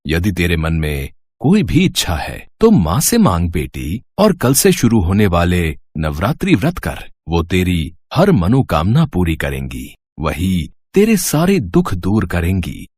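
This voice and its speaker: A man's voice: low-pitched, huge pitch swings, darkish tone, no echo, heavily acted. A man voicing a serene, kindly old holy man who speaks solemnly, as in a devotional story. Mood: benevolent. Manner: slow, solemn blessing.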